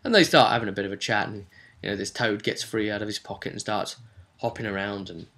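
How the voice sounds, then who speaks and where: A man casually recapping a tabletop role-playing session as game master, rambling along in a chatty, halting way. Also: fairly low pitch, steady tone, pushed and bright. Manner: chatty, halting, acts out short bits of dialogue.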